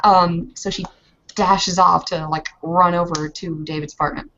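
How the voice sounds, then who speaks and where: A woman's voice: fairly low pitch, steady tone, a little slow, a bit bright, lo-fi sound, slight background noise. A woman improvising casually as a player in a tabletop roleplaying game, a bit tentative in how she talks.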